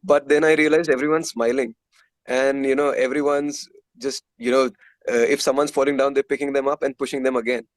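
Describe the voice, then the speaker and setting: Indian-accented man, lower voice, close dry sound. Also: steady tone, a little fast, dry recording, low-quality recording. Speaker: musician being interviewed. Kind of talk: casual interview storytelling.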